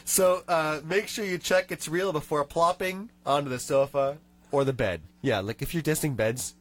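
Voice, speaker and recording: A man's voice: a little high, normal speed. A man hosting a radio show in a studio, nasal from a cold, chatting and reading tips aloud with small rants. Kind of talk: casual radio chat.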